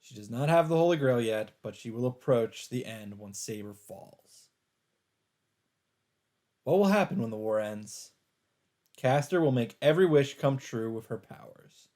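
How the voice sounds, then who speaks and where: A man's voice: medium pitch, lively intonation, measured pace, long pauses, darkish tone. A man hosting a let's play, reading visual novel narration aloud and adding his own commentary. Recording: game music behind the voice.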